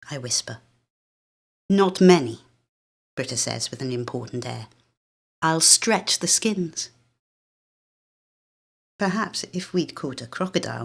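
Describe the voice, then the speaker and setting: A woman's voice: rumbling low, gravelly. A woman with a British accent, an actress giving a dramatic first-person reading of literary fiction. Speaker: actress reading a short story.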